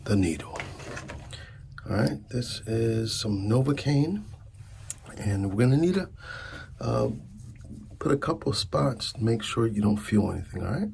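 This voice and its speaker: Middle-aged male for ASMR dentist roleplay: unhurried, creaky delivery, casual teasing patient chat, noisy close-up recording. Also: mid-range voice, expressive, darkish tone. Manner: informal, hesitant, teasing chairside patter. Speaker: ASMR performer playing a dentist.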